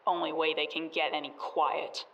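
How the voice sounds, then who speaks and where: A woman's voice: low-pitched, level tone, normal speed, fairly bright tone, distant mic, highs cut off. A woman playing a bitter, vengeful wasteland survivor in an audio drama, speaking colloquially and with menace. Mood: haunted, bitter.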